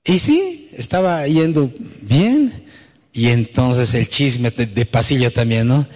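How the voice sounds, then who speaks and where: Male preacher, mid-pitched voice, conversational storytelling sermon full of rhetorical questions, long hall reverb. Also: lively intonation, takes it slowly, darkish tone. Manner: rhetorical questions and tag questions to listeners; acts out quoted dialogue. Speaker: pastor.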